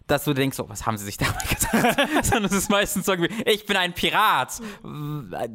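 A man co-hosts a podcast in a loose, joking way. His pitch swings widely as he jumps in with quick remarks and playful banter. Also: very animated, bright, forceful tone. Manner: jokey, playful interjections, swears and laughs easily. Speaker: podcast co-host. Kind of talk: casual chat.

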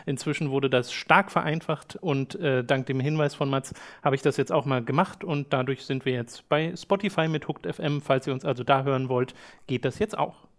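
A young man hosting a podcast, easygoing and teasing his co-host. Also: a little high. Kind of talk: casual podcast chat.

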